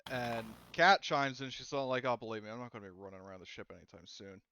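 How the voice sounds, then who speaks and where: A man running a tabletop game as game master, narrating casually in a halting, conversational way. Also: medium pitch, pushed and bright, a little hiss or hum.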